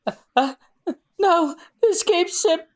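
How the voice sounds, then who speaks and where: A performer voicing excitable, dramatic pirates and marines in an acted manga read-aloud, exclamatory and melodramatic. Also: mid-range voice, unhurried, pitched above the performer's own voice. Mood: dismayed.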